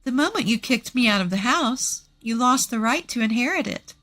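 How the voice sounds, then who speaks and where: A woman's voice: a little high, animated, moderate pace, a bit bright. A woman reading a scripted, dramatic first-person story as its narrator.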